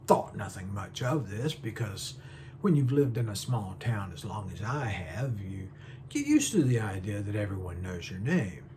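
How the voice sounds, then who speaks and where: A man's voice: fairly low pitch, expressive, moderate pace, darkish tone, a little hiss or hum, natural voice. A man performing a film monologue as a genial, dreamy small-town eccentric, folksy, courteous and hesitant as he meanders through his tale. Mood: quietly wondering.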